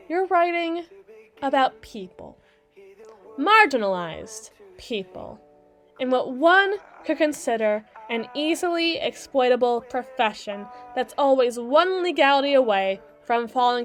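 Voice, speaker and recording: A brisk mermaid lawyer, acted by a woman, speaks in a lightly high voice with faint music behind her. She is articulate, condescending and dryly witty, delivering her scripted roleplay monologue like a lecture, with deliberate pauses for dramatic weight. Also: lively intonation, fairly bright tone. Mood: pointed, probing. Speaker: audio roleplay performer. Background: background music.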